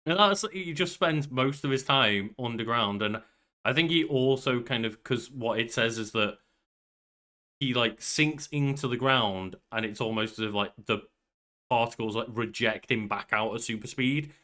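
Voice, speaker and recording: A man's voice: mid-range voice, normal speed, pushed and bright. A man, around his thirties, musing and rambling casually as a podcast co-host. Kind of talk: casual banter.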